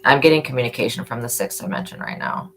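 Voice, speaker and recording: This is a woman with a strikingly deep voice and a hoarse edge. She hosts a live-stream tarot reading, offering earnest, motherly reminders and turning preachy when she speaks of faith. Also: very animated, quick pace, slight background noise, poor audio. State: hoarse from yelling. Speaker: tarot reader.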